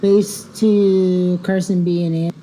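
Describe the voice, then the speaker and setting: A woman's voice: little pitch movement, slow, dark tone. Woman joking over noisy game chat. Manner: teasing, mildly sweary banter. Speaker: player in a group bus-driving game. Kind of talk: casual joking gaming voice chat. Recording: clearly noisy voice chat, vehicle rumble.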